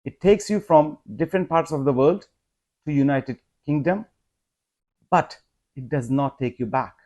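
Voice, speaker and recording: Slow, earnest man. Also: fairly high pitch, mellow. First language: not English. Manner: earnest, measured, rising to emphatic appeals. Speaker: vlogger. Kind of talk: personal vlog monologue.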